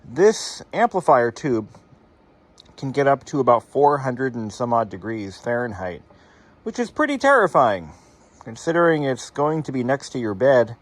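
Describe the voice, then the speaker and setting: A man's voice: mid-range voice, sing-song, normal speed. A man hosting a hobby repair channel, talking casually to viewers in a rambling, wry way with sarcastic asides.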